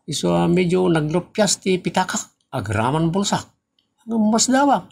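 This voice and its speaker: Man acting creaky-voiced, teasing Filipino father. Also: sits high, lively intonation, normal speed, a little mellow, exaggerated voice. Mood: teasing, boastful. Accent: Ilocano Filipino. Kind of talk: Ilocano comedy audio drama acting.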